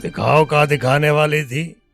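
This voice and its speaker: A menacing, lecherous middle-aged drunk in an audio drama. His speech is slow, slurred and gravelly, with an eastern Hindi heartland accent. Music plays behind him. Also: on the high side, fairly even intonation, fairly bright tone.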